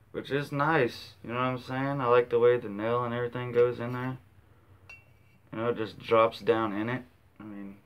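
A man's voice: mid-range voice, smooth. A man in his twenties chatting casually to his vlog in a laid-back, slangy, sweary way, recorded at arm's length in a small bathroom. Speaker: video host. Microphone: camera or phone at arm's length.

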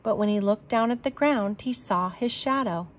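Woman doing a kids storybook read aloud, expressive, careful narration. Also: fairly high pitch. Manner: deliberate, expressive. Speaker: storybook reader.

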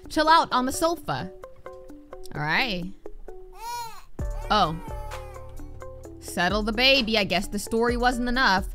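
A young woman with a high, bright voice commentates on a video game. She is animated and reactive, swinging widely in pitch as she talks to the game, with music behind her. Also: slow, long pauses. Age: young adult. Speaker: gaming streamer. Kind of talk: casual playthrough commentary with reading aloud.

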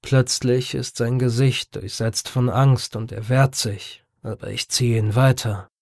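A man's voice: medium pitch, little pitch movement, measured pace, vocal fry, a little mellow. A man reading a first-person horror story as a scripted audiobook narration.

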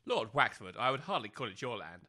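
A man playing a polite, beleaguered but sly gentleman businessman, well-spoken and placating, in a comedy audio drama. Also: mid-range voice, big pitch swings, brisk. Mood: courteous.

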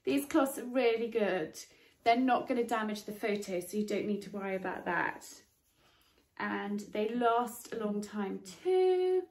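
A woman's voice: mid-range voice, animated, a little slow, a little mellow. Chatty woman in an echoey room. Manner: friendly how-to chat with filler words, working as she talks. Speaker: home and cleaning vlogger. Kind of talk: chatty cleaning demonstration. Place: sunlit garden room in a home. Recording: echoey room with faint noise.

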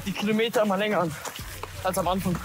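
High-voiced man vlogging on a trail. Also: steady tone, racing. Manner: upbeat, talks while moving outdoors. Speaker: long-distance runner vlogging his trek. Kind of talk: vlog narration while walking. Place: outdoors on a trail.

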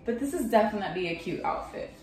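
A woman's voice: medium pitch, animated, fast talker, darkish tone. A young woman giving a chatty, matter-of-fact review of an outfit she is trying on. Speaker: lingerie reviewer. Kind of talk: casual try-on review. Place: small bedroom indoors. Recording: very echoey room, faint music.

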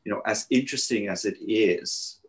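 A man, a guest architect speaking haltingly over a video call from a living room. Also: on the low side. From Switzerland. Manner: halting, many hesitations and restarts. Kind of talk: panel conversation.

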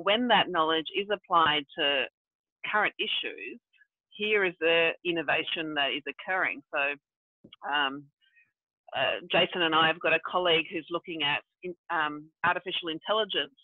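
Woman, reflective, halting with fillers, phone-thin sound, dry and close. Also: low-pitched, no echo. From grew up in Brazil and South Africa. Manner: reflective, thinks aloud with many fillers. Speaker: guest, regenerative development practitioner. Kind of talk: conversational video call. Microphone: video call. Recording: tinny phone-like dry audio.

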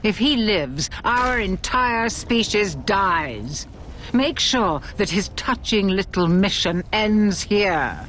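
An actress playing a cold, imperious villain queen of an inhuman species in a video game, measured, regal and disdainful. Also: mid-range voice, animated, fairly bright tone, slight background noise. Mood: cold, commanding. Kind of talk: video game cutscene acting.

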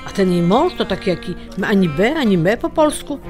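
A woman's voice: medium pitch, very animated, fairly quick, close to an everyday voice. An actress playing a gossipy, disapproving old grandmother in an audio drama, with a folksy, querulous way of talking. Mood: grumbling, gossipy. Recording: music behind the voice.

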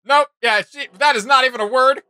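A man's voice: very high-pitched, fairly quick, sharp tone. A young man hosting a reaction video with casual, conversational commentary. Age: young adult. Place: indoor home studio room.

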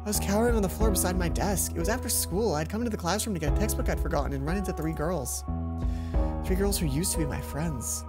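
A man's voice: sits high, fast talker. A young man reading a visual novel aloud expressively as a let's play host, with asides of commentary. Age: young adult. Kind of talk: expressive read-aloud narration and game commentary. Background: game music.

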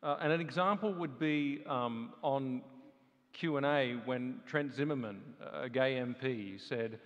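A man's voice: medium pitch, unhurried, fairly bright tone, muffled recording. Thoughtful man speaking in a hall. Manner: thoughtful, searching for words. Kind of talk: reflective talk in a panel. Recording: long hall reverb.

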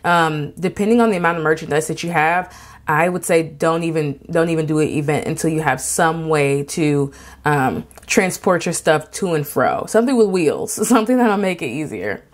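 A woman's voice: low-pitched, lively intonation, moderate pace. A woman who is an artist, talking casually in a vlog with many fillers and restarts, giving emphatic advice. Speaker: artist and craft vendor.

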